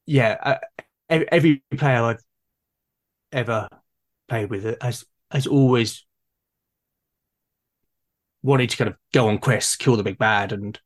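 A man with an average-pitched voice talks in a casual, discursive way. His delivery is halting, and he often repeats a word while he works out the idea. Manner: halting, repeats words while forming ideas. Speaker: conversation partner. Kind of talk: casual discussion of role-playing games.

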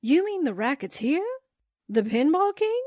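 An actress playing an inquisitive, animated newspaperwoman sidekick in a 1940s-style radio drama. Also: sits high, unhurried, dry recording, clean studio sound. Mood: surprised, curious.

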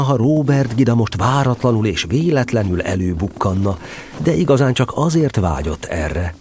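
Guy reading a kids story, kinda low voice, super lively delivery, bit of noise underneath. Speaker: narrator. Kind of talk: scripted storybook narration, expressive.

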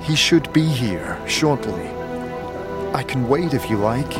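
A man playing a sombre, resolute but compassionate scarred wanderer in a fantasy audio drama, with earnest, measured speech. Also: medium pitch, animated, loud music behind the voice. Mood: gently reassuring.

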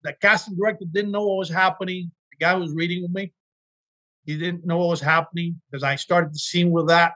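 A middle-aged man from Miami, a guest actor telling animated stories about auditions in a casual interview. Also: high voice, a little fast, low-quality recording. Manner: animated storyteller, acts out quotes. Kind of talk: casual podcast interview storytelling.

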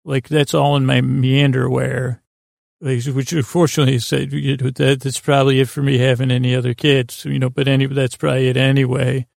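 Creaky, gravelly man rambling softly along. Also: medium pitch, flat delivery, dry recording, clean studio sound. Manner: meandering, halting, self-interrupting. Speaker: podcast host. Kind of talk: rambling sleep podcast monologue.

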